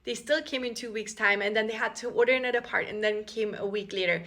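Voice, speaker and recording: A woman in her thirties with an Austrian accent, talking to the camera in chatty vlog style. Her voice is bright and forward, and she tells her story with lots of fillers and quick restarts. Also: a little high. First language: German. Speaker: expat vlogger.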